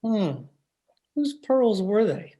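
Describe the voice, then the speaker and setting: An actor playing a laconic, skeptical police detective lieutenant in a radio drama, terse and unhurried. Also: mid-range voice, very animated, warm tone. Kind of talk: old-time radio drama re-creation.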